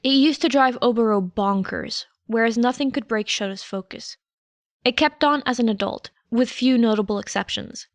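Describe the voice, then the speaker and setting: A woman's voice: a little high, normal speed, no echo. A woman reading a story aloud as scripted narration. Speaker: podfic narrator.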